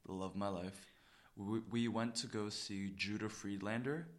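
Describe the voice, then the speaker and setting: Male podcast co-host, slightly deep voice, excitable and slangy, casual anecdote style with lots of repetition. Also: normal speed. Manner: excitable, slangy, repeats himself. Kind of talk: casual chat, animated storytelling.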